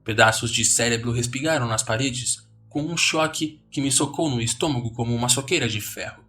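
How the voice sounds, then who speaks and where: A man's voice: mid-range voice, fairly quick, pushed and bright. A man with a Brazilian accent giving a dramatic scripted narration of a horror story. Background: music.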